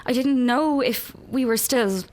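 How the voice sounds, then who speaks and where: An awkward young Irish woman in a naturalistic radio play. Her voice sits a little high and she speaks slowly, hesitating as if guarding something fragile. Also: a little mellow. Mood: fragile, nervous. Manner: hesitant, halting. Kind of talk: naturalistic radio drama acting.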